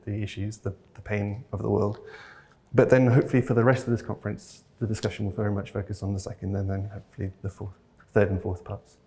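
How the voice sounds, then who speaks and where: A man from North Wales giving a thoughtful keynote talk, earnest and a little hesitant as he searches for words. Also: fairly low pitch. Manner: earnest and gently enthusiastic. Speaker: keynote speaker. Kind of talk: reflective conference talk. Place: talk venue, audience present.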